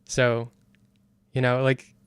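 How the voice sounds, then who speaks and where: Blunt, opinionated man in a casual chat with a smooth, clean voice. Dry, close sound. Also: medium pitch, monotone, slow pace, dry recording. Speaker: discussion guest.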